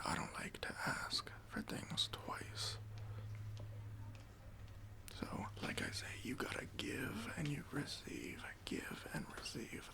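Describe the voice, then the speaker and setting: A man acts out a self-important male boss interviewing a candidate in an ASMR roleplay. He speaks slowly and pompously in a sharp, bright half-whisper that swings widely in pitch. His manner is demanding and condescending, broken by halting pauses. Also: vocal fry, heavily acted.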